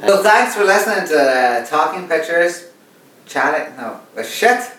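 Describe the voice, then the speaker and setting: A man's voice: a little high, very animated, unhurried, recorded from a distance, background noise. An Irish man, a native Irish speaker, hosting a podcast in a wry, jokey way. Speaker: podcast host. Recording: very echoey room, away from mic.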